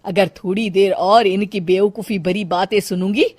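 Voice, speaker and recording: A woman's voice: mid-range voice, fairly even intonation, moderate pace, darkish tone, dry recording, lightly acted. A woman voicing a middle-aged city mother-in-law in a cartoon story, fond but complaining, with melodramatic exclamations. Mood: irritated. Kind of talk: Hindi cartoon moral-story acting.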